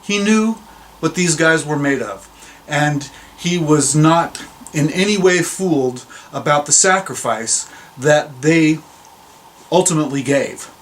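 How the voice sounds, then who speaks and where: A man's voice: a little high, lively intonation, slow, slight background noise. A man hosting a video series, chatting casually and informally as he speaks straight to his viewers. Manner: informal, addressing viewers directly. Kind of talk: casual chat introduction to a reading.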